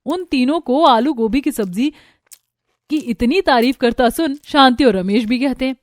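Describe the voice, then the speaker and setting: A woman's voice: high voice, normal speed, no echo, studio quality. A woman reading scripted narration for a family cartoon story.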